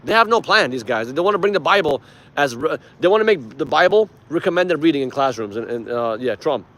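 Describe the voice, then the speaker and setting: A man's voice: a little high, lively intonation, a little fast, fairly bright tone. A man from Iran, a native Persian speaker, hosting a casual livestream and giving emphatic, informal political commentary with some swearing. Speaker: live stream host.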